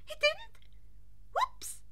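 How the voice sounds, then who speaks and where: A woman's voice: very high voice, animated, extremely slow, noisy background, pitched above the performer's own voice, full character voice. A woman voicing a small male animal school principal in an audiobook, chirpy, polite and formal. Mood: bright, playful.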